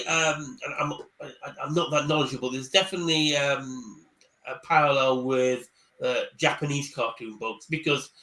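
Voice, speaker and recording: Bright, halting man on a call. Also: on the high side, poor audio. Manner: hesitant, repeats words, then speeds up. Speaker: career coach guest speaker. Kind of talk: casual chat on a live online panel. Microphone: video call.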